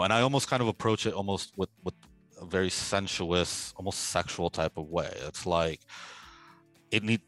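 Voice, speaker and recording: Low-voiced man, animated and expressive. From Peru. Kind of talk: casual interview answer.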